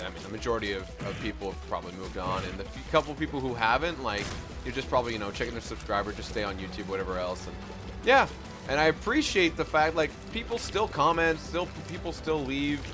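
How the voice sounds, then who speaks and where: A young man hosting a let's play, chatting casually and self-deprecatingly with many restarts while he plays. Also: medium pitch. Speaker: gaming channel host. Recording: game music playing behind.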